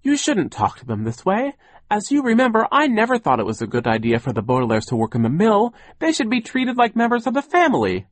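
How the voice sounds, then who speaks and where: A man's voice: high voice, huge pitch swings, brisk, no room sound, voice pushed up, exaggerated voice. A man voicing a kindly but timid male business partner in an audiobook story, pleading in a distressed, deferential way. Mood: gently protesting.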